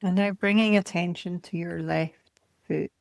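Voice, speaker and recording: A woman meditation teacher leading a guided body scan in a calm, gentle, measured way, speaking in short phrases. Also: fairly low pitch, creaky, dry recording, clean studio sound.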